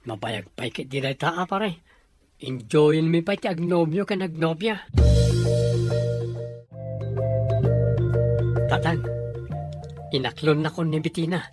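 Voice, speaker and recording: A man's voice: a little high, moderate pace, darkish tone, faint music behind, natural voice. A man playing a humble, bashful young suitor from a poor family in an audio drama, earnest and respectful. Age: young adult. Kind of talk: scripted audio drama dialogue.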